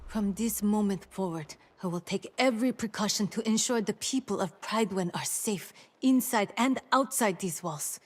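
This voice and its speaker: A woman playing a dutiful female town leader in a fantasy game, speaking in a measured, formal and earnest way. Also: mid-range voice, close to an everyday voice. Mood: resolute. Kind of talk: scripted fantasy game dialogue.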